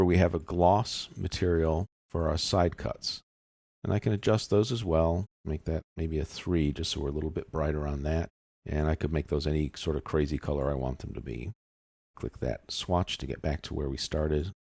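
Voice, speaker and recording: A man narrating a software tutorial as an instructor, explaining things casually and step by step. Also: low voice, gravelly, no room sound, studio quality. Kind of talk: tutorial narration over screen demonstration.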